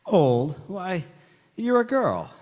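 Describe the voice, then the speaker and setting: A man's voice: sits high, sing-song, very slow, darkish tone, highs cut off, heavily acted. A man acting a hearty, blunt and curious family man in a stage radio drama. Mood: blunt, surprised. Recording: echoey hall with long reverb.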